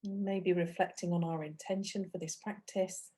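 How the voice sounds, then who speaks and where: A woman teaching a guided meditation, speaking gently and unhurriedly, with long pauses between phrases. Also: on the low side, fairly even intonation, husky.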